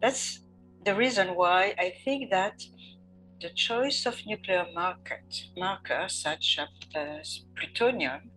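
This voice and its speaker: Woman expert talking real slow, bright tone, soft music behind. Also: mid-range voice, expressive, low-quality recording. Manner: measured, pausing often with fillers. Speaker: interviewed expert on radiation. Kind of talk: slow, considered interview answers.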